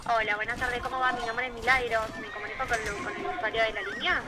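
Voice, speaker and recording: Quick-talking Argentine woman on the phone. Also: a little high, little pitch movement. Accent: Argentine Spanish. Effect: heard over a phone call. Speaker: telemarketing agent for a phone company. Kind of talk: scripted sales call, polite customer service. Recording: phone call with music behind.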